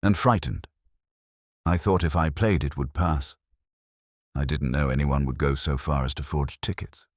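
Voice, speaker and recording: A man voicing an ashamed, frightened village woman, soft-spoken and anxious, in an audio mystery story. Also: very deep voice, steady tone, normal speed, long pauses, dry recording, clean studio sound.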